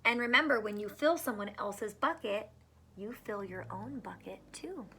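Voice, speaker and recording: A woman's voice: mid-range voice, animated, moderate pace, bright, forceful tone, slight background noise. A woman teacher reading a picture book aloud to children, adding encouraging asides and questions.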